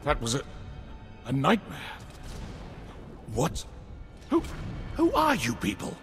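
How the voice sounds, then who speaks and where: High-pitched, panicked, dazed victim. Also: male voice, wildly swooping pitch, fairly bright tone, heavily acted. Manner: halting, bewildered. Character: dazed, frightened male victim freed from possession. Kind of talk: video game voice acting. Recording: long hall reverb, music behind.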